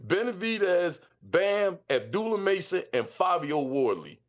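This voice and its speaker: A man's voice: high-pitched, sing-song, measured pace. A man, calling in and talking bluntly in slang, repeating himself. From South Philadelphia. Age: 50s. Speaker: caller. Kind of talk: call-in chat. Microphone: Discord call. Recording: muffled call audio.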